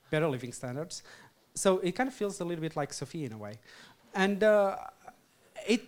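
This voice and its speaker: Man pitching startups on stage. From Portugal. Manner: energetic, frequent "uh" and "um" fillers. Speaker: startup co-founder giving a talk. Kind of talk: conference presentation and Q&A. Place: event hall with audience.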